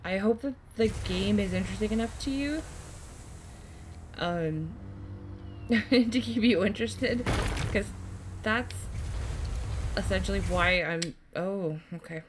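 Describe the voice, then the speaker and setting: A woman hosting a gaming video, giving casual commentary in quiet, halting asides to viewers. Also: medium pitch, background noise. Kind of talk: casual let's play commentary.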